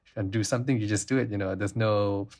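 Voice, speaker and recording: A man's voice: on the low side, fairly even intonation, racing, darkish tone. A man from Malaysia, co-hosting a casual podcast chat in a rambling, reflective way. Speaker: podcast co-host.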